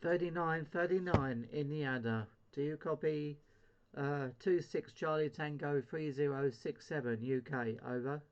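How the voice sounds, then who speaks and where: A man's voice: on the high side, measured pace. A man, an amateur CB radio operator, chatting casually as he demonstrates his set and puts out calls. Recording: dull lo-fi audio, clear background noise.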